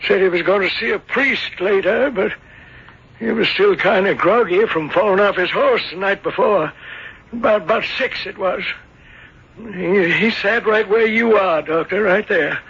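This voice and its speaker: High-voiced, flustered small-town innkeeper. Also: male voice, measured pace, a bit bright, husky, full character voice. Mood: defensive, recounting. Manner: stammering, folksy, agitated. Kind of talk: 1970s radio drama acting. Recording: dull lo-fi old radio broadcast.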